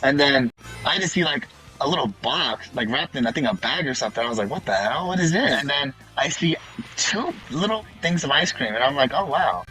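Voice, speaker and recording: A man's voice: mid-range voice, lively intonation, fairly quick, bright, forceful tone, rough recording. A young man chatting casually and telling stories to his friends as the host of the group. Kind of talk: casual chat and storytelling among friends. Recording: music playing behind the voice. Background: music.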